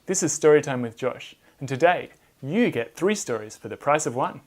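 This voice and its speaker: Male storyteller, expressive, very varied pitch, scripted children's Bible narration, direct address. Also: moderate pace. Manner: expressive, addresses listeners with questions. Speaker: storyteller and host.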